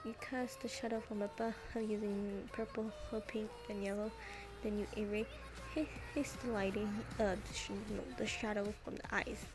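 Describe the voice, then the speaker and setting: A woman's voice: mid-range voice, steady tone, a little slow. Teen girl, casual tutorial voice-over, rambling, hesitant restarts, music bed. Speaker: art tutorial narrator. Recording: voice-over with clear background music. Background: background music.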